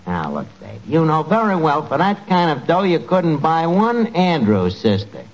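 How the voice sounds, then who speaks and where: A man's voice: a little high, wildly swooping pitch, measured pace, full character voice. An actor playing a sour, streetwise, wisecracking male tavern manager with slangy speech in a 1940s radio comedy. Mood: suspicious. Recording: muffled, noisy 1940s radio recording.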